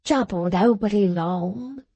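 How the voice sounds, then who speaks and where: A pitiful old drunkard, as a woman reader puts him on, dragging out his pleas slowly and softly. Her voice sounds mellow and close in a dry space. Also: medium pitch, husky, no echo. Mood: whimpering. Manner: slow, pleading, whimpering. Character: abject, whimpering drunken old father.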